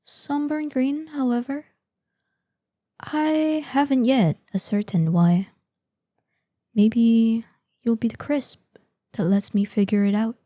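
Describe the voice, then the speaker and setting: A woman playing an ancient, proud female dragon in a scripted audio roleplay, imperious in manner, with a breathy voice that lapses into whispers. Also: fairly high pitch, warm tone, no echo, studio quality. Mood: languid threat. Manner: slow, imperious, savoring threats. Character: ancient, menacing, proud female dragon guarding hoard, lonely, excitable.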